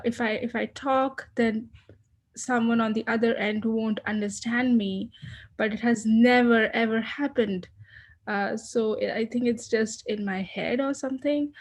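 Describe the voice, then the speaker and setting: A woman with a non-American accent speaking up in a group training, hesitant and full of fillers. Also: fairly high pitch. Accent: non-American foreign accent. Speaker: real estate agent in training.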